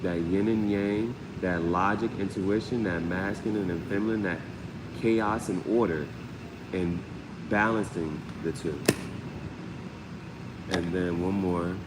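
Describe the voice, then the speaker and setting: Man, low-pitched voice, casual tarot host, slangy, repeats phrases, echoing room, noticeable background noise. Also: takes it slowly, pauses often. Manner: repeats phrases, peppers talk with slang and sound words. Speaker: tarot reader, host of a live reading show. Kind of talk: casual live tarot reading, spoken off the cuff. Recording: roomy long reverb, clear steady background noise.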